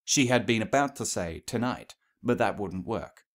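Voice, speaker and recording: A man's voice: fairly low pitch, animated, normal speed. A man reading scripted fiction aloud as a story narrator.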